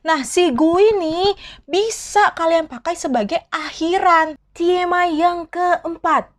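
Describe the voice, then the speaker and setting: High-voiced chatty woman teaching Korean. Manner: chatty, asks listeners questions, mixes in English words. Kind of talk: casual online lesson.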